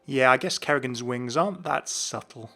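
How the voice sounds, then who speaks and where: A man's voice: medium pitch, moderate pace, vocal fry, bright, forceful tone. A man giving scripted, explanatory commentary as the host of a gaming video. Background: background music.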